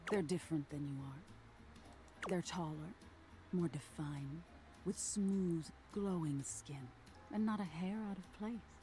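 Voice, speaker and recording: A woman voicing an eerie nightmare spirit in a video game, telling a soft, ominous tale in a hushed, whispery voice. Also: lowish voice, faint music behind. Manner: slow, soothing, ominous storytelling. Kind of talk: game character narration.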